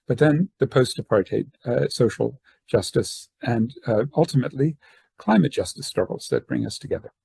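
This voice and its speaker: A man's voice: lowish voice, vocal fry, warm tone, breathy edge, no room sound. An older man from Northern Ireland, raised in the southern United States, giving a conference talk over a video call in discursive, hesitant phrasing. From born in Northern Ireland, raised in the southern United States. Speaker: academic and activist giving a talk. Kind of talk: semi-formal conference presentation.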